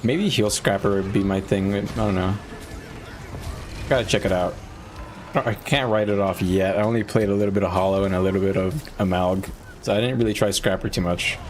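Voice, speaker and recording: A man's voice: low-pitched, steady tone, fairly quick, slight background noise. A man who streams games, giving casual live commentary and rambling as he thinks aloud. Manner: rambling, thinking aloud, often clearing throat. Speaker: game streamer.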